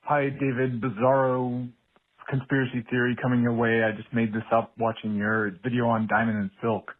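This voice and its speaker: A man leaving a casual voicemail with a deadpan, joking manner. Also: mid-range voice, normal speed. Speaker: voicemail caller. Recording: tinny phone voicemail.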